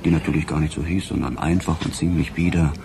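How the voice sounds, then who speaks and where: Sly, deep-voiced police detective. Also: male voice, fairly even intonation, fast, gravelly, very noisy. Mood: sardonic, taunting. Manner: insinuating, mock-courteous questioning. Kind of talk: German crime radio play acting.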